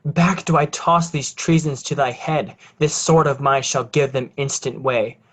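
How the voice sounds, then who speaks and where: A man's voice: mid-range voice, steady tone, a little slow, natural voice. Proud, defiant nobleman Edmund played by a man. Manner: formal, declamatory. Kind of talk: Shakespearean reader's theatre.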